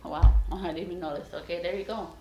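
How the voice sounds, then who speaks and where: A woman's voice: lowish voice, fairly even intonation, quick pace, warm tone, reverberant, a little hiss or hum. A woman giving a casual, encouraging tarot reading straight to her viewers, rambling with many fillers and restarts. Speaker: tarot reader.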